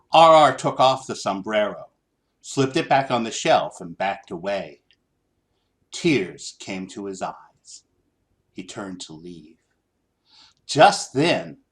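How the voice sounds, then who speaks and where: A man reading a children's story aloud in an expressive, theatrical, deliberate style. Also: medium pitch. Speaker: storybook reader.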